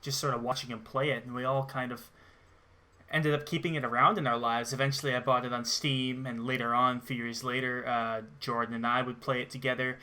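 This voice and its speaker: Bright-voiced young man chatting over gameplay. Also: medium pitch, little pitch movement, a little fast. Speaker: gaming show co-host. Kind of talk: casual gameplay chat.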